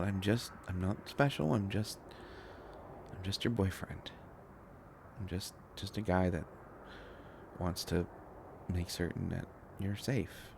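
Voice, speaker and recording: A man plays a shy young inventor boyfriend in an intimate audio drama, his voice low and unhurried. He stammers and rambles bashfully, tripping over his words with devoted affection. Some noise sits behind the voice. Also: creaky, darkish tone. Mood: modest, tender.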